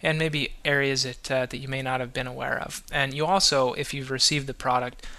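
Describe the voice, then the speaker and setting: A man's voice: medium pitch, little pitch movement, fairly quick, sharp tone. A man hosting a podcast, talking casually and loosely, with many restarts and fillers. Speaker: podcast host.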